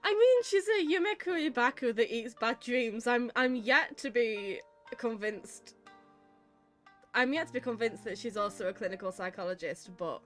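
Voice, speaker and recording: A woman's voice: fairly high pitch, animated, normal speed, faint music behind. A woman with a British accent giving casual, chatty, wry commentary while playing a game. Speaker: psychologist streaming a game.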